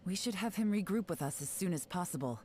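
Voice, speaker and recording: A woman's voice: on the low side, animated, fast, darkish tone, slight background noise, lightly acted. A woman voicing a composed, watchful agent in a video game. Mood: wary, composed.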